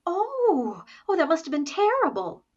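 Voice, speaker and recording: Sympathetic girlfriend type, female actor, 1940s radio comedy: cooing, very lively intonation, slow, slightly muffled recording. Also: very high voice, full character voice. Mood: sweet, flattering. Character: sweet, gushing, sympathetic female friend. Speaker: actress in a radio comedy recreation. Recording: dull, lo-fi sound.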